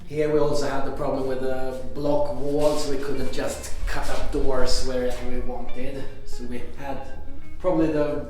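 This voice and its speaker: A man from Sweden with a Swedish accent, a contractor explaining a renovation in a relaxed, thoughtful way with light laughs. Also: medium pitch, unhurried, faint music behind. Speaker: contractor and investor. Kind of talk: casual walkthrough explanation. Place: house under renovation, indoors. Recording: very echoey empty room.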